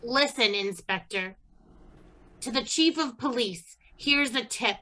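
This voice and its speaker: A woman's voice: on the high side, takes it slowly, rough recording. A woman playing a stern, exasperated police chief with a commanding manner in an old-time radio crime drama. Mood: frustrated. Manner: forceful, commanding, indignant.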